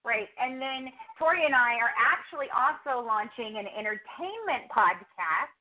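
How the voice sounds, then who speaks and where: A woman's voice: on the high side, expressive, normal speed. A middle-aged woman chatting casually as a podcast-host guest in an interview. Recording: thin phone-like call audio.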